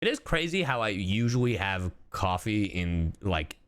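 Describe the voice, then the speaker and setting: A man hosts a casual livestream reaction. His pitch moves in lively swings as he jokes with his chat. He swears freely and keeps the commentary loose and irreverent. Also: unhurried. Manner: irreverent, joking, heavy swearing. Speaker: streamer, reaction host.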